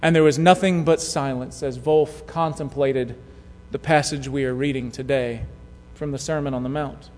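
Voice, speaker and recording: A man preaching a sermon, telling a story in a measured way that drops to a hush at key moments. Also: medium pitch, fairly even intonation. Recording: long hall reverb.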